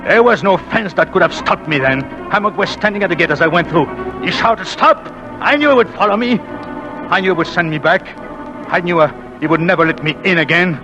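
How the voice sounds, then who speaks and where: A remorseful husband narrating. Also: male voice, high-pitched, expressive, a little fast, music in the background. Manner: emotional, pleading, hushed at times. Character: remorseful European husband narrating his story. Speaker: narrator and lead. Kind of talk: 1940s radio drama narration. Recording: lo-fi old radio recording.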